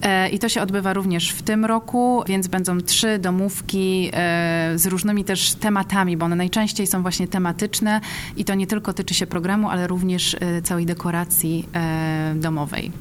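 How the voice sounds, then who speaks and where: A woman answering interview questions as a film festival programmer, speaking conversationally in a thoughtful way with searching pauses. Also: on the low side, fairly even intonation. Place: recorded in a cinema. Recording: noticeable background noise.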